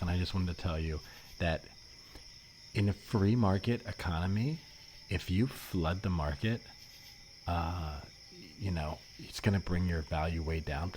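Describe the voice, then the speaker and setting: A man playing an earnest, rambling know-it-all economist in an improvised comedy podcast, explaining things in a halting way. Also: low-pitched, darkish tone, lightly acted. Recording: faintly noisy remote podcast recording.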